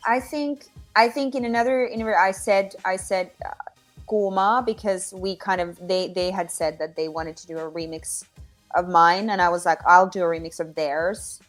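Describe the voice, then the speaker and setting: A Finnish-accented woman singer-songwriter answering interview questions from home, often pausing and restarting as she searches for words. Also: on the low side, steady tone, faint music behind. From Finland. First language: Finnish or Swedish. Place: home with her dogs.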